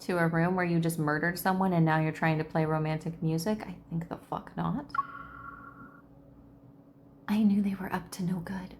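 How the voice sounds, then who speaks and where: A woman's voice: fairly low pitch, steady tone, normal speed, long pauses, slight background noise. A woman reading game text aloud as a gaming commentator and breaking into casual reactions. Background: loud game music.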